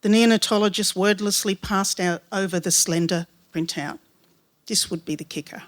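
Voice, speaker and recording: A woman's voice: mid-range voice, steady tone, normal speed. A woman reads a literary story aloud in a mid-range voice. She narrates in the first person and delivers lines of dialogue as part of the telling. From Western Sydney, Australia. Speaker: writer reading own story aloud.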